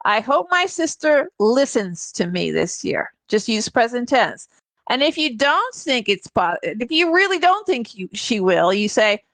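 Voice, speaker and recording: Brief: female American English teacher, higher-pitched and very lively, encouraging, explanatory, conversational delivery in a live group class. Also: no echo.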